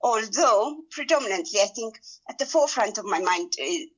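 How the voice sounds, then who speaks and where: A woman's voice: mid-range voice, expressive, moderate pace, a bit bright, highs cut off. A middle-aged woman raised in Ethiopia, with Amharic as her first language, answers interview questions thoughtfully, hesitating and restarting often. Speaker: guest writer and translator. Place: indoors, small room.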